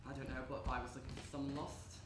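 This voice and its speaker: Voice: medium pitch, level tone, rapid-fire, natural voice. A young actor playing an eccentric, evasive underworld landlord in a live stage play, rambling and repeating with erratic emphasis. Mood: conspiratorial. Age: young adult. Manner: rambling, repetitive, erratic emphasis with sudden shouts. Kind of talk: live theatre monologue and dialogue. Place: echoey indoor performance space. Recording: roomy live stage recording with noise.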